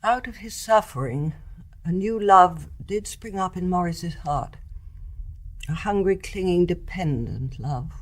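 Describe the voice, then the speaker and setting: An older woman with an English accent playing a wise, composed, forgiving mother-in-law in a radio drama, measured and frank. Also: deep voice, heavily acted. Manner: measured, articulate, gently frank. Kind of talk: stage-play radio drama acting.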